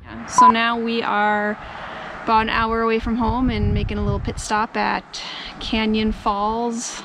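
A woman's voice: level tone, measured pace. A woman in her thirties chatting casually as she hosts an outdoor vlog on a handheld camera. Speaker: vlog host. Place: outdoors on trails and roadsides. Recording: noisy outdoor recording with ambient rumble.

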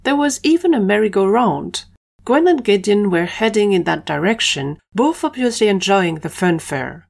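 A woman's voice: mid-range voice, lively intonation, moderate pace, creaky. A woman reading scripted narration for an audio adventure story.

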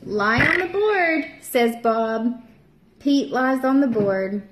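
Young woman teacher reading a storybook to kids at home; slow, sing-song delivery with a bright, higher voice; echoey room, camera at table distance. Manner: slow, expressive read-aloud, bright voices for the characters. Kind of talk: reading a picture book aloud to young children.

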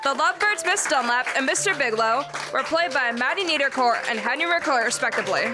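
A teenage girl delivers scripted radio announcements in a high voice. Music plays loudly behind her throughout. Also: animated, quick pace. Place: school library room, stage microphone. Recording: loud music under the voice. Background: loud music.

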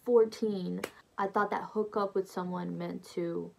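A woman's voice: lowish voice, steady tone, measured pace, creaky, a little hiss or hum, rough recording. A young woman whose first language is Portuguese, chatting casually to camera in her bedroom about her faith testimony, full of "like" and "you know". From Brazilian family. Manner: chatty, lots of "like" and "you know", laughs lightly. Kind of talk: casual personal storytelling to camera. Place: small bedroom, indoors. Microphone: phone or camera close in front.

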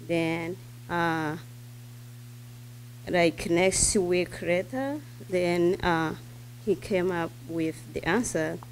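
Slow, gravelly non-native woman speaking haltingly. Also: on the low side, a little hiss or hum, poor audio. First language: not English. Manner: halting, searching for words. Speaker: parent speaker. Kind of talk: unscripted remarks at a school board meeting. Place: school board meeting room. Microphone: podium microphone.

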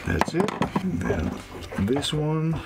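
A man's voice: a little high, gravelly, very noisy. A man in his fifties casually talking through a motor repair at a small workbench, thinking aloud as he works. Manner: slow, relaxed, thinking aloud while working with his hands. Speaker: sailing vlogger repairing boat gear. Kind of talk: casual how-to narration. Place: small workshop bench aboard a boat.

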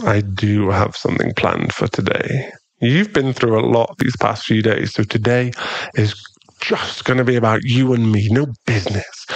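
A man playing a possessive, tender and dangerous mafia boss boyfriend in an intimate, teasing ASMR roleplay, lingering on his pauses. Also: lowish voice, vocal fry, no room sound, full character voice.

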